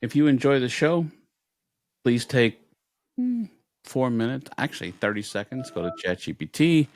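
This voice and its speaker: A man's voice: mid-range voice, very animated, normal speed, no room sound. A man hosting a podcast, chatting casually and making announcements with playful mock formality. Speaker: podcast host.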